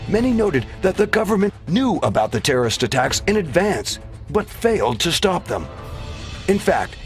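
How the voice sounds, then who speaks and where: Male documentary narrator, formal and declarative, lively pitch movement, music underneath. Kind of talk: scripted narration. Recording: music bed behind narration. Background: background music.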